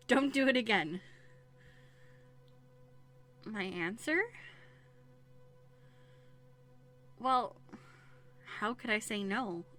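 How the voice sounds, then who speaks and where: A woman's voice: fairly high pitch, very slow, long pauses. A woman playing a young runaway princess in a roleplay audio drama, playful and plucky, with a conversational, teasing manner. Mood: teasing, cheerful. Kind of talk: scripted one-sided roleplay audio drama. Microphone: close USB condenser microphone. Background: soft music.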